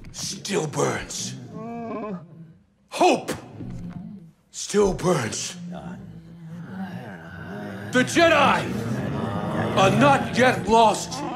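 An actor playing a middle-aged rebel leader in a video game, terse, fierce and authoritative. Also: male voice, sits high, vocal fry, heavily acted. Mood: rousing, impassioned. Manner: terse, authoritative, declamatory when rallying. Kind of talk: cinematic video game acting.

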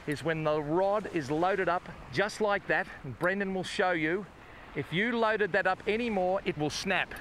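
Folksy Australian fishing host outdoors. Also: male voice, high-pitched, a little slow, a bit bright. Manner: folksy, exclamatory, addresses viewers directly. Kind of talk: enthusiastic outdoor commentary. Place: outdoors on a sandy beach by the sea. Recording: outdoor wind and water noise.

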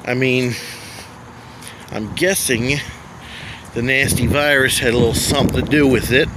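Middle-voiced man in his forties, relaxed and chatty, talking to viewers over a phone mic outdoors while cars go by. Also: medium pitch, normal speed, noisy background. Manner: chatty, wry asides to the viewer. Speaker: vlogger exploring abandoned places. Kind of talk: casual walking commentary. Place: outdoors around an empty building, later in a car. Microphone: handheld phone camera.

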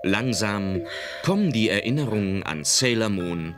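A man's voice: fairly low pitch, expressive, a little slow. A man narrating a children's adventure radio play as a dramatic storyteller. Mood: dramatic narration. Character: dramatic male storyteller of a magical-girl adventure. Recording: loud music under the voice.

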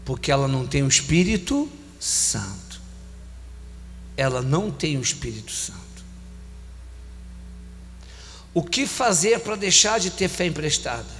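A man in his forties with a Brazilian Portuguese accent, preaching a sermon on a handheld mic, repeating phrases with emphasis and slowing down on key lines. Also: a little high, very animated, a bit bright, soft background music. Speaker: bishop preaching. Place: large church hall. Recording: long church hall reverb, faint hum.

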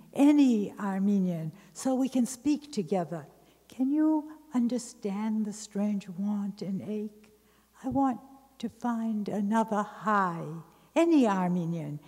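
A middle-aged woman reading poetry from a page at a podium, slow and measured. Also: medium pitch, dark tone. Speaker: reader at a literary memorial. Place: large ornate library hall. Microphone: podium mic on a stand. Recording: long hall reverb.

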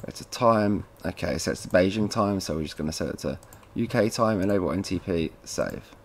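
A man presenting a casual, informal step-by-step software tutorial, talking to viewers like mates as he works at a computer. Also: sits low, moderate pace, gravelly. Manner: informal, addresses viewers as guys.

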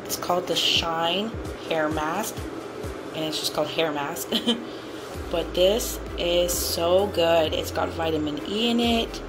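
A woman chatting casually as she shows off her freshly cleaned bathroom at home, upbeat and repeating herself. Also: lowish voice. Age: adult with a son. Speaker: cleaning vlogger. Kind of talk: casual show-and-tell vlog. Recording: music playing behind her voice.

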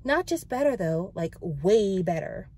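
A woman's voice: mid-range voice, very animated, unhurried, warm tone, slight background noise. A woman voicing a resilient, brashly confident young female pop idol in a book read-aloud, frank with some jokey bravado. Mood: encouraging.

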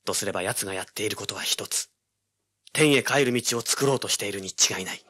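A man's voice: lowish voice, a little fast, background noise, close to an everyday voice. An actor playing a brash, driven young male treasure hunter in an audio drama, with intense dramatic delivery. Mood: intent, dramatic. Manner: cocky, intense, rough casual speech. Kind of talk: dramatic first-person narration and dialogue, audio drama.

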